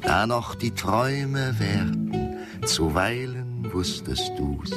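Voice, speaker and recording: An actor playing a solemn, dreamy reciter of nostalgic verse in a radio drama, with deliberate, lyrical delivery. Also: male voice, lowish voice, expressive. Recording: loud plucked guitar music behind.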